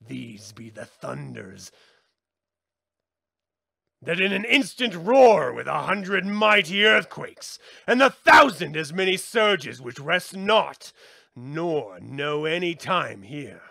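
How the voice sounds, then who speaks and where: A man gives a solemn, theatrical reading in a bright, forceful voice with a gravelly grain. He declaims each phrase slowly and deliberately, like an incantation. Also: high voice, no echo, clean studio sound. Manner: theatrical, declaiming, swelling from subdued to shouted. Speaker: reader of a book aloud. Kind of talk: dramatic incantatory reading aloud.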